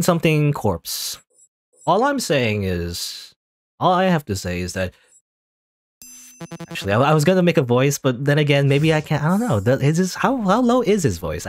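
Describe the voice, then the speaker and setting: A man's voice: mid-range voice, dry recording. A man giving casual, rambling commentary while playing a video game, often correcting himself mid-sentence. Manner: rambling, chatty, self-correcting. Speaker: gaming channel host. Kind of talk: casual let's play commentary.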